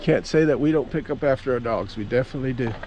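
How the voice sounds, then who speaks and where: Mellow, folksy man talking outdoors. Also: medium pitch, fast, muffled recording, slight background noise. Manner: folksy, plain-spoken, rambling. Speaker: amateur radio vlogger. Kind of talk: casual walk-around vlog narration. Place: outdoors at a campground.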